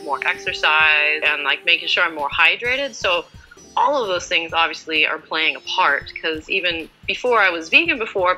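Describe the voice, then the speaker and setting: A woman's voice: lowish voice, sharp tone, low-quality recording. A woman in her forties answering as an interview guest in a casual, chatty, informal and self-deprecating way. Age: 40s.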